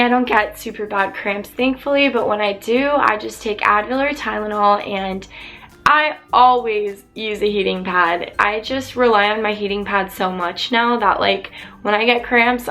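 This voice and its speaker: A young woman vlogger chatting openly to camera while answering viewer questions, her voice a little raspy from losing it. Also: on the high side, a bit bright. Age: young adult. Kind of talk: casual chat to camera.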